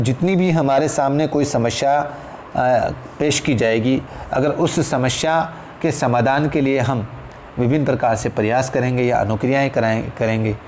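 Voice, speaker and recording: A man's voice: mid-range voice, a little mellow. Male Indian teacher with noisy background. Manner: repeats phrases for emphasis, addresses listeners as friends. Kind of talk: exam-prep lecture, explanatory. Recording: clear background noise.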